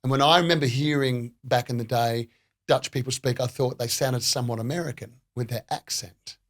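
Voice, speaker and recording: A man's voice: mid-range voice, fairly even intonation, a little fast. A man in his fifties hosting a podcast, talking in a reflective, slightly self-conscious way. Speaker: podcast host, pianist. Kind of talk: casual podcast conversation.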